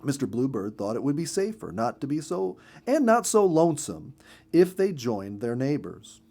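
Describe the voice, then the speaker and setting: A man narrates a children's storybook. His delivery is gentle and expressive, with a mid-pitched voice that moves up and down in a very lively way. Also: normal speed, gravelly.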